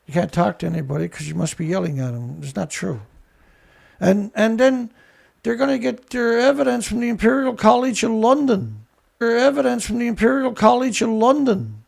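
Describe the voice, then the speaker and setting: Guy with a real raspy gravelly voice, pitched kinda high, ranting and swearing, blunt as hell. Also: lively intonation, moderate pace. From Canada. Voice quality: rough, gravelly voice. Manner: angry, blunt, swearing, sarcastic. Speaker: show host. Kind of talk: ranting commentary show.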